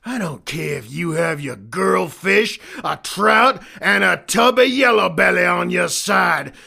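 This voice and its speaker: Fish gang boss for cartoon western: very gravelly, high-pitched, taunting. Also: male voice, expressive, a little slow, pushed and bright, exaggerated voice. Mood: menacing. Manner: menacing, taunting. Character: sneering fish outlaw gang leader in a western. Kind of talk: cartoonish western audio drama acting.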